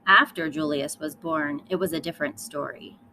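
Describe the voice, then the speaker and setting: A woman's voice: low-pitched, steady tone, normal speed, bright, forceful tone, slight background noise, low-quality recording. A woman teacher reading a children's storybook aloud, speaking directly to young listeners with emphatic storytelling.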